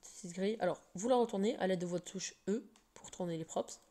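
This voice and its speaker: A woman's voice: mid-range voice, a little fast, dark tone, slight background noise. Hesitant woman with a slight cold. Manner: hesitant, full of fillers and restarts. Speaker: game tutorial maker. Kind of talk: casual screen-recorded game tutorial.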